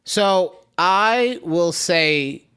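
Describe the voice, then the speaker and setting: A man's voice: high-pitched, lively intonation, very slow, sharp tone, clean tone. A man co-hosting a casual podcast, chatting in a rambling way and acting out the people he quotes. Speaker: podcast co-host.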